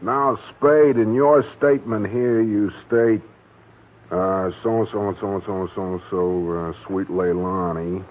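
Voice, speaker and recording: An actor playing a stern police captain who reads out statements in an officious way, in 1940s radio acting style. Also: male voice, fairly low pitch, steady tone, slow pace. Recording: tinny old radio broadcast.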